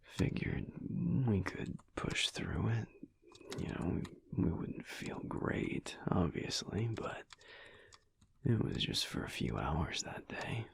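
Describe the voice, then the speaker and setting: A man playing a laid-back, teasing alpha werewolf husband in an intimate audio roleplay, talking softly and slowly with dry humour in a low, gravelly voice. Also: big pitch swings, dry recording, full character voice. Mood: relaxed, reflective. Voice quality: low, husky, gravelly whispery voice. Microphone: close microphone.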